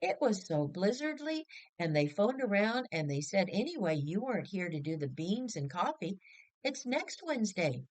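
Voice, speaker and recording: A woman narrator voices an eager family member in a storybook. The delivery is brisk, folksy and chattering, often lifting higher in pitch. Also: big pitch swings, a little mellow, natural voice. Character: eager chattering family members greeting their returning mother.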